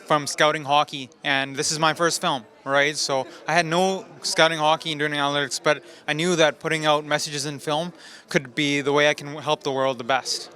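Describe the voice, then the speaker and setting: A man's voice: on the high side, little pitch movement, normal speed, pushed and bright. A man speaking as a first-time filmmaker in a casual interview, earnest and motivational, often checking in with tag questions. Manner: earnest, often tags phrases with "right?".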